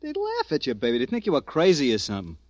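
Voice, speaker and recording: A scoffing, tired American husband from an old radio drama. He is blunt and slangy, his pitch swinging widely, on a dry, muffled vintage recording. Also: wildly swooping pitch, quick pace, no room sound. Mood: dismissive. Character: gruff, dismissive, tired American working-class husband. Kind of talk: 1940s radio acting. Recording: dull, band-limited vintage radio recording.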